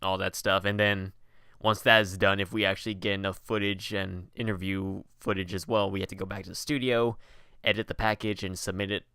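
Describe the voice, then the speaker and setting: Young guy on a podcast, bright crisp voice, kinda rambly and hedging, just chatting. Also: low voice, level tone, brisk, dry recording, clean studio sound. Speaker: podcast co-host. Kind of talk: casual chat.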